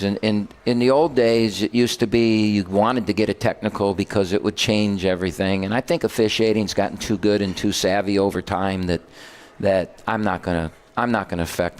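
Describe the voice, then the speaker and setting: A man's voice: on the low side, fairly even intonation, normal speed, clear, resonant tone. An older man, a basketball head coach, telling rambling stories on a radio show and correcting himself as he goes. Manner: rambling, self-correcting storyteller. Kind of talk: casual radio chat.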